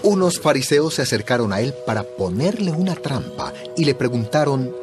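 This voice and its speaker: A man narrating a dramatized Bible story in a scripted, measured storytelling manner. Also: medium pitch, very animated, over music.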